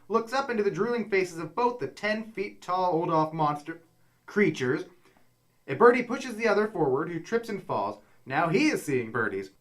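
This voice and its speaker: Animated mock sports announcer played by a man. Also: sits high. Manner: dramatic, excited. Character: frantic sports announcer calling an alien chase. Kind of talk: mock sports play-by-play commentary.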